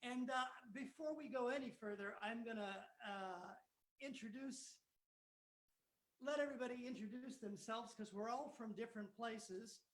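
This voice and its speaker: A man in his nineties from East Los Angeles, a mariachi musician casually introducing the band with jokes and fillers. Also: extremely high pitch. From East Los Angeles, California. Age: 90s. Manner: joking, halting with fillers. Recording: roomy echo.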